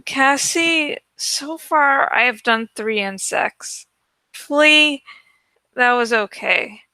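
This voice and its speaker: A woman reading the part of a reluctant, exasperated teenage boy in a storybook, halting and plaintive. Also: sits high, bright, forceful tone, smooth, no room sound, heavily acted. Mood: weary.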